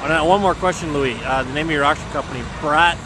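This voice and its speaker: A man asks questions in a casual interview. His voice is a little higher than average. It is recorded in an open field with clear noise around him. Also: fast. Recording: noisy outdoor handheld recording.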